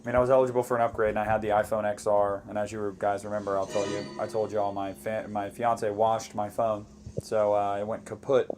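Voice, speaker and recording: A man in his twenties co-hosting a live movie commentary, chatting casually with viewers in a relaxed way. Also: lowish voice, fairly quick. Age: 20s. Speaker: co-host of a movie commentary channel.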